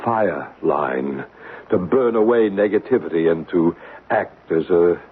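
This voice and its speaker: A man playing a gentle, assured male psychic medium in a 1970s radio drama, explaining patiently. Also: sits low, a little slow, slightly rough. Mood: reassuring. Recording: narrow radio broadcast with faint hiss.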